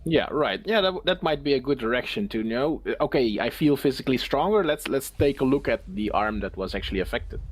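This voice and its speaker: A man's voice: mid-range voice, animated, fairly quick, hardly any pauses, breathy edge. A man playing a tabletop roleplaying game, casually describing his character's actions in a hesitant, musing way.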